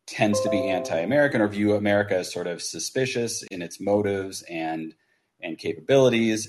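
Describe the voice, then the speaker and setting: A man calling in to a talk show, laying out his view in a measured way and hedging with "sort of". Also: low voice, a little mellow. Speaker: caller.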